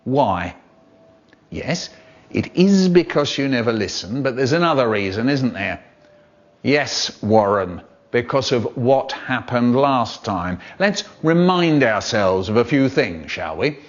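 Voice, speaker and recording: A man's voice: medium pitch, big pitch swings, slow. A man putting on a stern schoolteacher who scolds a restless class with clipped sarcasm, on a dull lo-fi recording.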